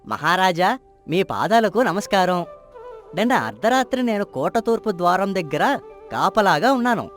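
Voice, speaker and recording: Man playing a high-voiced scheming palace liar. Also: very animated, moderate pace, fairly bright tone, soft background music. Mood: accusing. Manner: insistent, faltering when challenged. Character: scheming young prince and lying, nervous palace guard. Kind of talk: dramatised folk tale acting.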